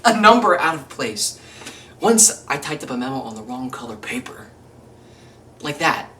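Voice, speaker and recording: A man playing an exasperated, rambling struggling actor in a theatrical monologue, emphatic and self-interrupting, wry and excitable. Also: fairly high pitch. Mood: indignant, agitated. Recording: distant mic, faint room noise.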